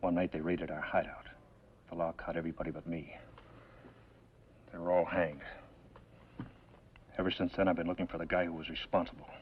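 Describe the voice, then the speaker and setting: Low, fast-talking hot-headed outlaw, played by a man. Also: level tone, lightly acted. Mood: bitter. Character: hot-headed, bitter young outlaw gunman seeking revenge. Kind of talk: 1950s television western acting. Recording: dull, hissy old television soundtrack.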